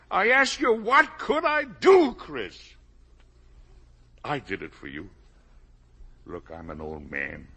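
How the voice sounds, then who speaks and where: A husky, forceful old man, swinging widely between low muttering and loud pleas. He is a guilt-ridden father defending himself in vintage radio melodrama. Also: heavily acted. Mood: desperate pleading. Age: older. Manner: self-justifying, emotionally volatile, pleading. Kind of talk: 1950s radio drama acting. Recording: vintage 1950 radio broadcast.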